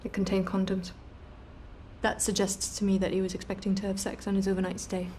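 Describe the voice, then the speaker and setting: Female detective, played by an actress, TV drama: quick, mellow-voiced, blunt, all business. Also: medium pitch, monotone, slight background noise, close to an everyday voice. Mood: blunt, pressing. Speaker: police detective. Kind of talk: naturalistic TV drama dialogue.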